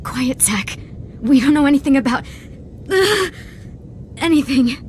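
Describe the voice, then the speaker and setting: An actress playing a sick, stubborn rebel wife in a video game, strained and defiant. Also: female voice, high-pitched, expressive, unhurried, slight background noise. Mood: wary, dismissive. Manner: strained, resistant, emotional. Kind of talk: video game dialogue acting.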